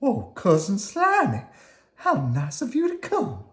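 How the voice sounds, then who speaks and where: A woman's voice: medium pitch, huge pitch swings, unhurried, voice pushed up. A woman voicing a friendly, unruffled female country worm in a children's storybook, welcoming and matter-of-fact.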